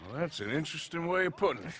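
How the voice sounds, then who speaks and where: Weary, blunt American outlaw. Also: male voice, fairly high pitch, expressive, very fast, a bit bright. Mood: weary, subdued. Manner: blunt, drawling, plain-spoken. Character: weary, gruff outlaw man, guilt-ridden but protective. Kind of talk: cinematic video game acting.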